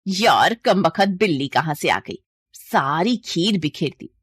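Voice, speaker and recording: A woman acts a villainous aunt from a storybook tale. Her voice is on the low side, theatrical and two-faced, greedy and impatient underneath. The sound is close and dry. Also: animated, unhurried, slightly rough, dry recording. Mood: scheming, annoyed. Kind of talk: moral-story acting.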